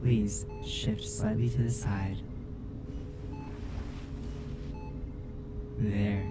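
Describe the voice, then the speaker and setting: A man's voice: fairly low pitch, flat delivery. Man voicing a caring, formal robot caretaker over music. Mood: calm, attentive. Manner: formal, precise, measured wording. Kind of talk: ASMR roleplay audio drama.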